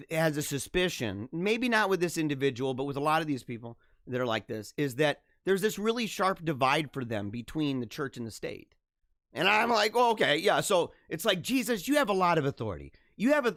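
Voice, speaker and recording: A man's voice: fairly high pitch, a bit bright, no room sound, studio quality. A man hosting a live-streamed talk show, chatting casually with viewers and reading their comments. He chuckles often and repeats phrases as he talks.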